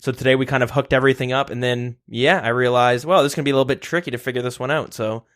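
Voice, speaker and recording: A young man hosting a podcast in a relaxed way, leading the talk and reading out news. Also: mid-range voice, rapid-fire. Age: young adult. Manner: steady, runs the show and leads topics. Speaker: podcast host. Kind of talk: casual chat, reading news. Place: shared room, two mics.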